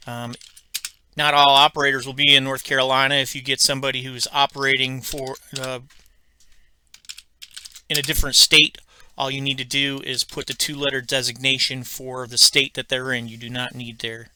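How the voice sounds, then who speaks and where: A man with a very bright, forceful voice walks through software steps as an instructor. His delivery is halting and methodical, and the sound is crisp and dry, recorded close indoors at a computer. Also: medium pitch, dry recording. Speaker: tutorial instructor. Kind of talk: step-by-step software tutorial, unscripted explanation.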